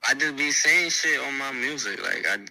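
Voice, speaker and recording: Laid-back teen rapper from Venezuela. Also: male voice, medium pitch, lively intonation, normal speed, vocal fry, sharp tone, no room sound, rough recording. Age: teens. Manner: laid-back, slangy, mumbled restarts. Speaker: rapper guest. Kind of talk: casual interview answers.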